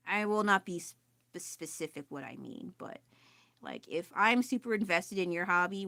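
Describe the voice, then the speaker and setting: A woman with a lowish, loud voice rambling casually as she paints live from home. She is self-deprecating and laughs often. The sound is close and dry, with no room echo. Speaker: artist livestreaming her painting. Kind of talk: casual live-stream chat while working. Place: at home with family nearby.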